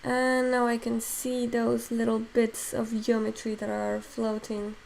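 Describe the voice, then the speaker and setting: Mexican woman demoing software, gravelly voice. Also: a little high, completely flat delivery, measured pace, a little hiss or hum, poor audio. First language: Spanish. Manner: thinks aloud while working, many fillers. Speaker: digital sculpting instructor. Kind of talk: casual live-stream software demo.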